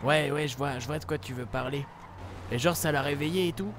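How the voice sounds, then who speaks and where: A man's voice: on the high side, fairly quick. Hesitant young man over faint engine noise. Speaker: livestreamer playing a roleplay game. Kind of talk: improvised in-character roleplay chat.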